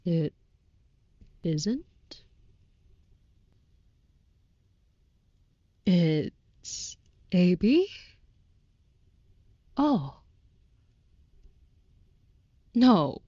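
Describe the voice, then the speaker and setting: A woman's voice: fairly low pitch, very animated, very slow, mellow, no echo, highs cut off, full character voice. A woman voicing a devoted, overprotective female vampire in an intimate audio roleplay, with formal, old-fashioned, tender and earnest phrasing. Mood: surprised, admiring.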